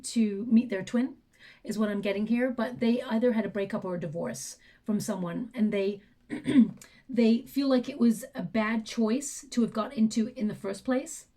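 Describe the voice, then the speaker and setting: A woman giving a tarot reading, her tone mellow and dark. She talks softly and reflectively, working through ideas aloud. Her voice is going hoarse, with frequent throat clearing. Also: on the high side. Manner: soft, reflective, thinking aloud. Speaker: tarot reader.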